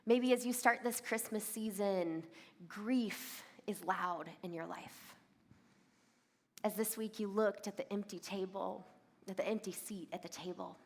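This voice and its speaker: High-voiced woman preaching in a reverberant hall. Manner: relatable, addresses listeners directly with reflective questions. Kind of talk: conversational sermon to a congregation. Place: large church venue with long reverb. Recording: reverberant hall, amplified sermon.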